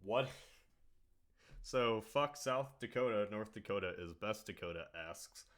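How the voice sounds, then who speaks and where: A man with a fairly low voice hosts a loose, casual podcast conversation. He drawls through his sentences and often lets them trail off into quiet mumbles. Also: animated, unhurried. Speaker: podcast host.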